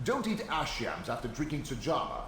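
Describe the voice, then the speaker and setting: A man's voice: mid-range voice, huge pitch swings, a little fast, a bit bright, reverberant, in a large hall, slight background noise. A man voicing a wry, teasing adventuring companion who drawls out banter in a fantasy video game.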